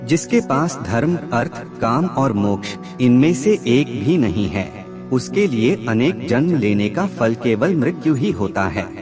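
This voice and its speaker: Slow, expressive man narrating over music. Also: medium pitch. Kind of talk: scripted narration of moral maxims. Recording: clear background music under voice.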